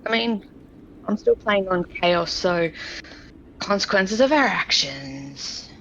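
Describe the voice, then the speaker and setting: A woman's voice: mid-range voice, lively intonation, slow pace, a bit bright. A woman chatting casually as a player in a tabletop role-playing game. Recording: dull, noisy home recording.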